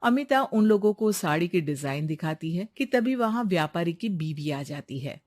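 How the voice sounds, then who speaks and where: A woman's voice: fairly low pitch, lively intonation, normal speed, a little mellow. A woman narrating a scripted story as the storyteller.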